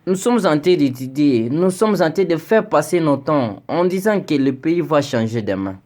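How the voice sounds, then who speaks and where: A man's voice: fairly high pitch, expressive, normal speed, a little mellow. A young man from Congo speaking an earnest, pleading video message to his president, with stammered repetitions. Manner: earnest, pleading, rising into indignation with repeated stammered words. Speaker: citizen addressing the president.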